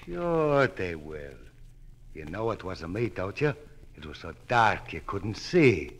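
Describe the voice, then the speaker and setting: Low-voiced, smug oily gangster. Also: male voice, very animated, slow, exaggerated voice. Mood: smug, taunting. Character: smug male gangster feigning friendliness. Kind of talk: 1940s radio crime drama acting.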